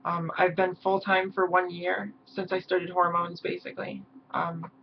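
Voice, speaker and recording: A hoarse young woman talking haltingly in a personal vlog, with many pauses and ums. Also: fairly low pitch, little pitch movement. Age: young adult. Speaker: vlogger. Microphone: camera mic. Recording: dull lo-fi mic with faint hiss.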